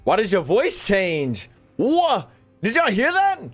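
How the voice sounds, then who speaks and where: A man's voice: sits high, wildly swooping pitch, slow, faint music behind. A man doing casual, slangy gameplay commentary as a streamer, exclaiming and reacting aloud. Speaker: gaming streamer.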